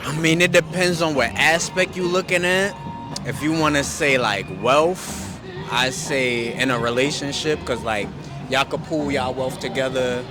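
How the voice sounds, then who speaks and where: A man's voice: mid-range voice, measured pace, over music. A man answering street interview questions in a blunt, slangy way. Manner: laid-back, slangy, blunt. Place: outdoors on a city street.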